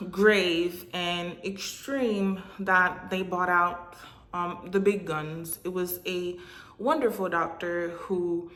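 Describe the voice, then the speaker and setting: A woman whose first language is Haitian Creole, from Hispaniola, delivering a religious message straight to camera in a halting way, with frequent pauses and filler sounds. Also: on the low side, a bit bright. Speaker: religious vlogger. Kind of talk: direct-to-camera prophetic message. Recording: echoey room.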